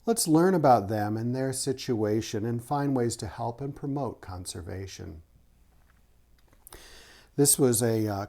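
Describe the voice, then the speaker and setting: A man with a mellow, relaxed voice reads aloud for children in the manner of a teacher. His pitch moves in an animated way, keeping a clear rhythm as he presents the material. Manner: rhythmic reading of rhyming verse, teacherly asides. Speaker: author, artist and art teacher reading aloud. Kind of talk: children's picture-book read-aloud with short explanations.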